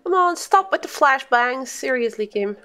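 A woman's voice: high voice, lively intonation, moderate pace, bright, forceful tone, faint music behind. Playful woman chatting on a game stream. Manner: playful, exclamatory, chatting to viewers. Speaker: livestreamer. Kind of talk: casual gaming stream chat.

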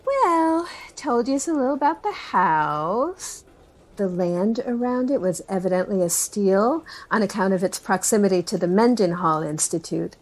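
A woman's voice: mid-range voice, expressive, measured pace. A woman playing a chatty small-town niece reminiscing about her uncle in a folksy, rambling documentary interview. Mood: eerie storytelling. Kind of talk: acted documentary interview, conversational.

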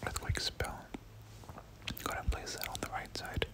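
A gaming creator giving ASMR game commentary in a breathy whisper, often repeating words in soft rhythmic runs. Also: unhurried.

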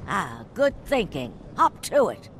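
A woman playing a brisk, no-nonsense older woman giving brusque orders in a video game. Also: lowish voice, big pitch swings, slight background noise. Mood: brisk, unflustered. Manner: brusque, bossy.

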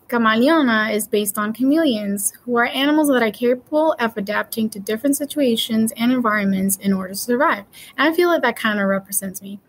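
A woman in her twenties from Puerto Rico, a veterinary student answering interview questions in a cheerful, earnest way. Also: a little high.

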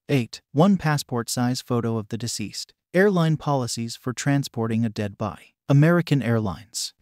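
Man, measured voice-over reading, mid pitch, dry close sound. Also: no room sound. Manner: even, measured reading of written text. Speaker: narrator. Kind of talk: scripted informational narration.

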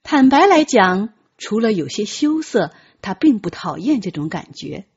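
A woman narrates an audiobook with dramatic, lively intonation. Her voice is quite husky, and the recording is slightly dull. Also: medium pitch, a little slow.

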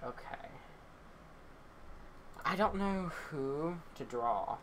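A man's voice: a little high, expressive, very slow, fairly bright tone, slight background noise, poor audio. A young man co-hosting a casual livestreamed podcast, rambling and apologizing, chewing snacks as he talks. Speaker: podcast co-host.

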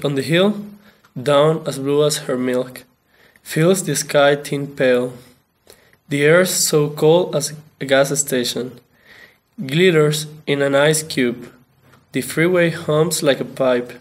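A man reads verse aloud at an unhurried, slow pace. His pitch sits a little above average for a man, and he sounds like a student at a poetry recital. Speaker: student reading a poem aloud.

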